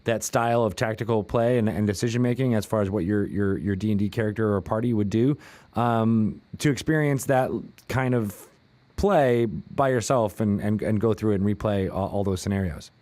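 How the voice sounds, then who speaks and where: Male host, mid-pitched, eager and chatty, dry close-mic studio sound. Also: fairly even intonation, normal speed, dark tone, no room sound. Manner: friendly, enthusiastic, restarts sentences. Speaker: podcast host. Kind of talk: casual interview chat.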